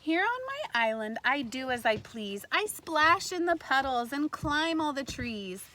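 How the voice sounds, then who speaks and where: High-voiced woman reading aloud to young children. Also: unhurried. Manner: animated storybook reading with questions to listeners. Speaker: kindergarten teacher reading aloud. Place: outdoors in a backyard tree fort among trees. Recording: faint outdoor ambient noise.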